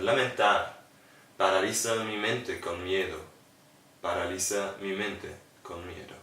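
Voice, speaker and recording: A man performs spoken-word poetry in a fairly low voice. His phrasing is deliberate and declamatory. He sounds some distance from the microphone, in a roomy, echoing space. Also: sharp tone. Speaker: poet, performer. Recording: roomy echo, distant from mic.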